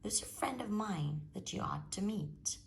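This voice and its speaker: Brief: woman voicing a little male mouse, sly and coaxing, higher than her own voice. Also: animated, creaky, a little hiss or hum. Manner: quick-witted, coaxing. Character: small clever male mouse.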